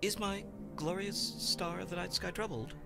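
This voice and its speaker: High, creaky, groveling alien lackey. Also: male voice, moderate pace, loud music behind the voice. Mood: fawning. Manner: obsequious, florid flattery, nervous. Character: fawning, cowardly alien servant to a tyrant. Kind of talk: campy sci-fi comedy audio drama.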